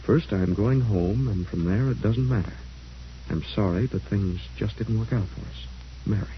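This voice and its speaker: A man plays a wayward gambler in vintage radio drama, casual and coaxing in a low voice. The sound is muffled, with a faint hiss behind it. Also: moderate pace, close to an everyday voice. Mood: reading aloud. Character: smooth-talking wayward gambler brother, coaxing. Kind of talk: old-time radio drama acting. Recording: muffled, hissy old radio recording.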